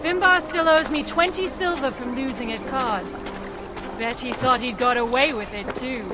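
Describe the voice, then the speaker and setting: A woman playing a brisk, stubborn, sharp-tongued dwarf rebel in a video game, speaking bluntly and confidently. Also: sits high, over loud music, natural voice. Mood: teasing. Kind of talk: conversational video game acting.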